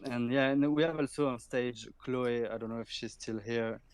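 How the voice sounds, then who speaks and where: Halting man with flat delivery. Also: mid-range voice, clean tone, dry recording. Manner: halting, many "like" fillers and restarts. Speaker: auction house specialist. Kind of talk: live audio chat. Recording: noisy stream audio.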